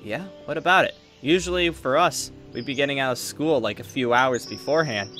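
A man playing an excitable, curious teenage son who is new at an academy, talking casually and eagerly in a Minecraft roleplay. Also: medium pitch, a little fast, soft background music, lightly acted. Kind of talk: improvised Minecraft anime roleplay.

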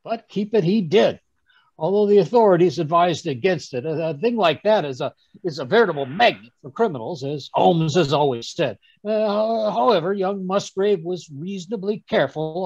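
A man playing a genial, fussy older English gentleman, chattily recounting his adventures in old-time radio drama style, with a husky voice. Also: high voice, dry recording, full character voice. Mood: reminiscing. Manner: chatty, chuckling, rambling storyteller with stammered asides. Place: home room on a group video call. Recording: dull, dry video-call audio.

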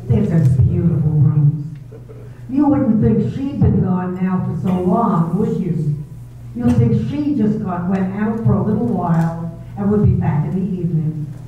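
A cruel, obsessive housekeeper, read by a woman in an old-style radio drama, taunting in a low, insinuating voice. It is captured from a distance in a very reverberant hall. The sound is narrow and tinny, with a faint hiss underneath. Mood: coldly insinuating. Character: cold menacing female housekeeper, obsessive and cruel. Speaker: reader in an amateur theater group. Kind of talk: 1930s radio drama reading. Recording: echoey tinny hall recording from far off, with noise.

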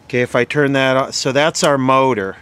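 A man giving casual, off-the-cuff narration of a repair job, halting as he thinks aloud. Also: medium pitch, steady tone, a bit bright, slight background noise. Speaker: host, vintage radio repairer. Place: beside an old console radio being worked on.

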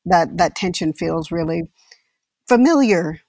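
Low, creaky-voiced woman, dry close sound. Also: animated, a little slow, a little mellow, no echo. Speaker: actor, interview guest. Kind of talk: reflective casual conversation.

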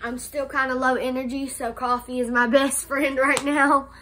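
A young woman recovering from a cold, talking slowly with a nasal voice and hesitating often in a casual vlog recorded close to a phone camera indoors. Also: high voice, level tone, bright, forceful tone. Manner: slow, halting, many "um"s and restarts. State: nasal from a cold, slight cough. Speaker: vlogger. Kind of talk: casual life update vlog. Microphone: phone camera held close.

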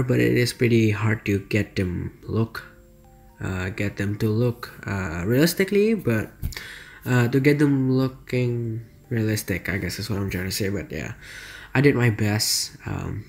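A man's voice: medium pitch, measured pace, gravelly. A young man from Indonesia with an Indonesian accent, speaking English as a second language and rambling hesitantly over a city-building game time-lapse, full of fillers, self-corrections and apologies. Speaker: city-building gameplay creator. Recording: faint background music under the voice.

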